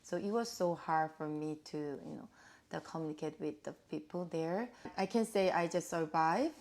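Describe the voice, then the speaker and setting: A Korean woman in her forties with a Korean accent and Korean as her first language, answering interview questions at home in halting phrases with fillers. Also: low voice, a little mellow. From South Korea. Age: 40s. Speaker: guest.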